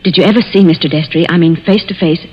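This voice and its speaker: A woman's voice: on the low side, fairly even intonation, quick pace, natural voice. An actress playing a worried, caring young woman, earnest and dramatic in the 1940s radio style. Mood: worried, probing. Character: anxious, caring young woman confiding with a hunted man. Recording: dull, noisy old 1940s radio recording.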